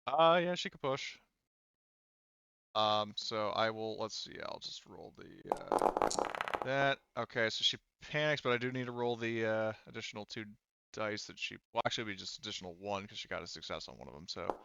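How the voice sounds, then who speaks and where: A man working as a game master, running a tabletop roleplaying game casually and thinking aloud in a halting way. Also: on the low side, vocal fry, dull audio, background noise.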